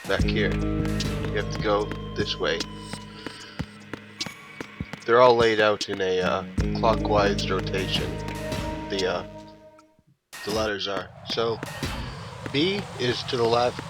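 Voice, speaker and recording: A man giving low-key, halting, explanatory commentary as he plays a video game. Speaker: let's play host. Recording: loud game music behind commentary.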